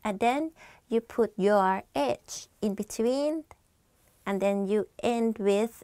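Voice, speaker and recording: A young woman with a Thai accent teaches phrases in a conversational way. Her voice sits a little high, and the sound is dry and close, as from a lapel mic in a small studio. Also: measured pace, mellow, smooth, no echo. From Thailand. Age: 20s. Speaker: language teacher. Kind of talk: informal explanatory language lesson to camera.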